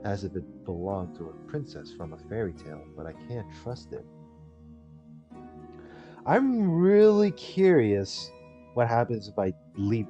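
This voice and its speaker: A man hosting a gaming video, reading visual novel text aloud and adding casual, rambling commentary. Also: on the low side. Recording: dull lo-fi mic under loud music. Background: game music.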